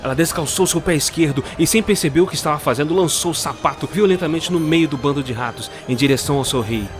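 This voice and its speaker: A man narrates a dark Christmas fairy tale in a slow, dramatic way. A clear music bed plays under his voice. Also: on the high side.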